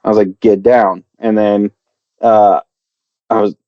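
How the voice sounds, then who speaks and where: A man's voice: on the low side, little pitch movement, unhurried, no room sound, lo-fi sound. A man chatting casually on a podcast, telling a long story with lots of fillers and restarts. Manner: rambling, many fillers and restarts. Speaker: podcast co-host.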